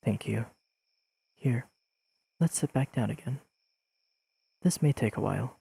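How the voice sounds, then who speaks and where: A man playing an earnest, awkwardly analytical young best friend in an intimate audio roleplay, speaking haltingly and carefully to the listener. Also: mid-range voice, gravelly, husky, dry recording, full character voice. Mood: frustrated, apologetic. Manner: halting, careful, softly pleading, slightly formal wording.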